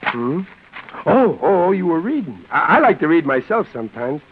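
A man's voice: a little high, big pitch swings, moderate pace, slightly rough. A slangy bumbling tough guy on tinny old radio. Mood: awkward, wary. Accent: American. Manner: hesitant, stammering, streetwise slang. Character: slangy, bumbling, suspicious tough guy who narrates his misadventure. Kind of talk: 1940s-50s radio comedy-adventure acting. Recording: tinny narrow-band old radio, music behind.

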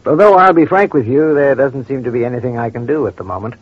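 An actor playing a courteous, probing crime doctor in an old-time radio drama, speaking in a measured, formal, reassuring way. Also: male voice, medium pitch, breathy edge, no echo, close to an everyday voice. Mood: calm, concerned. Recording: muffled vintage radio recording.

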